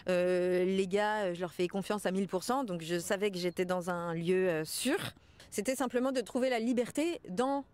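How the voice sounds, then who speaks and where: A woman's voice: mid-range voice, normal speed, darkish tone. Woman, thirties, mid-range voice, conversational interview delivery. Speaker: actress being interviewed. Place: outdoors in front of a large mansion. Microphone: small mic held out by interviewer.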